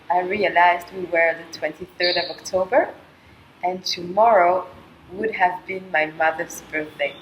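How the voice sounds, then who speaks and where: A middle-aged woman from Colombia speaks in a lower register, soft and halting, with many small pauses and fillers. She talks in a reflective, personal monologue, and a faint hum of ambient noise sits under her voice. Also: low-quality recording. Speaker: vlogger sharing a personal experience.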